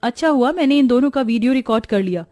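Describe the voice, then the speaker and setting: A woman's voice: fairly high pitch, expressive, quick pace, mellow, no echo, soft background music, pitched above the performer's own voice, lightly acted. A woman voicing an anxious, loyal young maidservant in an earnest, pleading, deferential way for a moral-story cartoon. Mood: worried.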